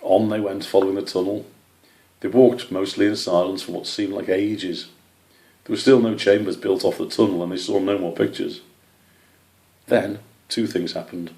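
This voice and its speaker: A man's voice: deep voice, steady tone, moderate pace, pauses often, a little mellow. A man reading a children's story aloud as scripted narration. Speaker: story reader.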